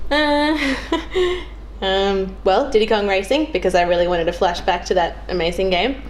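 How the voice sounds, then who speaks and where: A young woman talks casually as a guest on a podcast. Her delivery is informal and a little hesitant, as if thinking aloud. The pitch is average for a woman, and there is background noise in the recording. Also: animated, measured pace. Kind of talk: casual chat.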